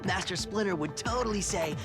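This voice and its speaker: A man's voice: high-pitched, fairly quick, natural voice. A man voicing a goofy, playful teenage mutant turtle in a cartoon game, jokey and teasing. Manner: jokey, laid-back teasing. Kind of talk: cartoon game cutscene acting. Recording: loud music behind the voice.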